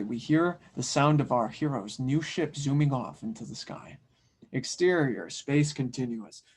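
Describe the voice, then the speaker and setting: Casting: man with a mid-range voice as narrator, reading scene directions for an audio drama. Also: mid-range voice. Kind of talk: scripted narration, table read.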